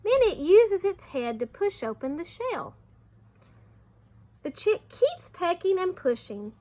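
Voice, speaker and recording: A woman teacher reading aloud to young children, expressive, with simple explanations along the way. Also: very high-pitched, slow.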